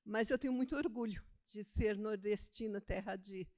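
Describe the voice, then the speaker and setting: An older woman from Brazil's Northeast, a theologian and author, speaking as a guest in a relaxed interview, self-deprecating and quick to laugh. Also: mid-range voice. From Northeast Brazil (Pernambuco). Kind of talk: conversational interview.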